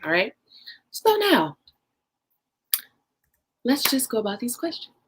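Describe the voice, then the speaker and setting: A bright, clear-toned woman hosts a casual livestreamed podcast. She talks in an upbeat, chatty way and stretches out words for emphasis. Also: a little high, wildly swooping pitch, slow pace. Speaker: podcast host.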